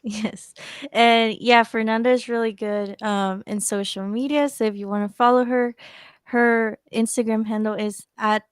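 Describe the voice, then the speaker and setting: Smooth-voiced young woman hosting a podcast. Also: on the high side, steady tone, moderate pace, no echo, clean studio sound. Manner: friendly, rambling, filler-heavy questions. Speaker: podcast host. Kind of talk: casual podcast interviewing.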